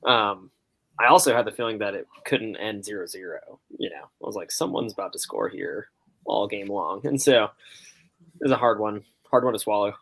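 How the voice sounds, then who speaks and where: A man's voice: lowish voice, normal speed, gravelly, a bit bright, rough recording. A man co-hosting a sports podcast in a casual, conversational style.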